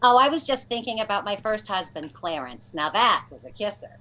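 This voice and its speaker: A woman's voice: mid-range voice, big pitch swings, brisk, bright, forceful tone, poor audio. A woman playing a chatty, wry household maid in a 1940s-style radio comedy.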